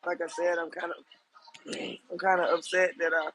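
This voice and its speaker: A hoarse man calling into a casual live audio chat, tired and easygoing. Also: high voice, little pitch movement, normal speed, fairly bright tone, a little hiss or hum, rough recording. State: hoarse from being sick. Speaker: caller.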